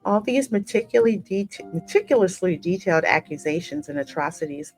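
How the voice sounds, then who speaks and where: A woman hosting a game playthrough, reading the story aloud as the player character in an expressive, measured, dramatic way. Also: medium pitch, faint music behind, low-quality recording. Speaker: gaming host voicing the player character. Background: musical game soundtrack.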